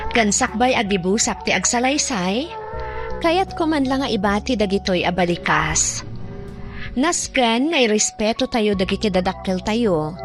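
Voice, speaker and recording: A woman with an Ilocano accent hosting a radio drama, reading in a scripted narration style. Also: medium pitch. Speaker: radio drama host reading a story's advice. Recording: music bed under the voice. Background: background music.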